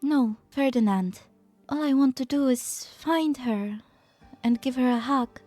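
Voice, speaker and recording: A woman playing a gentle, idealistic female scientist in a dramatic fan dub. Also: high-pitched, measured pace, dry recording, soft background music. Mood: gentle, wistful.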